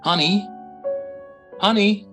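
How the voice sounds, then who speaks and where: A man playing a gentle, devoted middle-aged Southern father in stage-play dialogue, speaking in a fond, coaxing way. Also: high voice, slow, music in the background. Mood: surprised, concerned.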